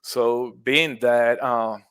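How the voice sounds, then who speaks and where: A middle-aged man with a Puerto Rican accent talks casually as a podcast guest. His pitch stays flat and even, and the recording is close and dry. He keeps a friendly tone, laughs easily and often restarts his words. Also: drawn-out words, smooth, no echo. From Puerto Rico. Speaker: guest, mushroom business owner.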